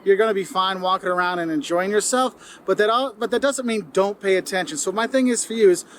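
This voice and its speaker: A man's voice: high voice, a little fast, a little hiss or hum. A man from the United States talking to viewers in a vlog, giving upbeat, direct tips. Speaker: video creator giving tips.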